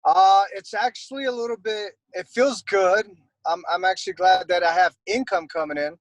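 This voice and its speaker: A young man from Costa Rica chats easily as an interview guest, rambling with many fillers. His voice sits a little high for a man. The sound is dry and close. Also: moderate pace, no room sound, low-quality recording. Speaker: guest, airbrush artist. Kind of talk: casual interview chat.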